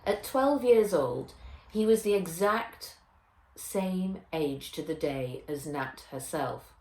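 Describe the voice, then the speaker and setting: Low-voiced woman reading a children's story. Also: expressive, slow pace, darkish tone. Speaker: author reading own novel aloud. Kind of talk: children's audiobook narration. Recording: roomy echo.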